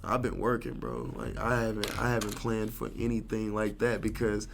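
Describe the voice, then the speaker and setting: A man's voice: lowish voice, normal speed, gravelly, background noise. A man in his thirties from Kansas City, a rapper talking loosely and slangily as an interview guest. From Kansas City, USA. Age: 30s. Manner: laid-back, slangy, rambling. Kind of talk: casual interview chat.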